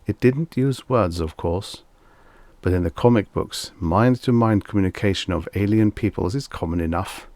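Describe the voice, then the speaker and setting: A man reading a scripted short story as an audiobook narrator, in a measured, expressive storytelling manner. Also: lowish voice, no room sound.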